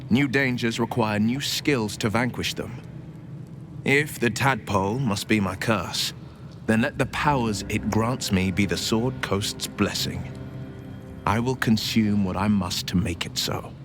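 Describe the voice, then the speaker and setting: An actor playing a resolute, earnest adventurer in a fantasy video game, speaking in a formal, declamatory manner. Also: male voice, on the low side, unhurried, music in the background, close to an everyday voice. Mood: solemn, resolute. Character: solemn heroic male adventurer, earnest and resolute.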